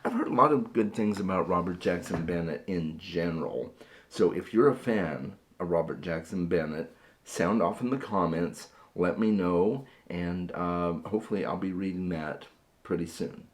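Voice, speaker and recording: A man with a very low voice talks casually to his viewers about books. His voice is hoarse and worn. He often stumbles and repeats words as he begins a thought. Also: moderate pace. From Phoenix area, USA. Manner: chatty, repeats words when starting sentences. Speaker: book vlogger. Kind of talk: casual chat to camera.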